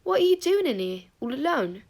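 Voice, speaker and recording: A woman voicing a gentle, friendly teenage schoolgirl, coaxing and concerned, in audiobook dialogue. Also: high-pitched, very animated, fairly quick, pitched above the performer's own voice. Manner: soft-hearted, coaxing.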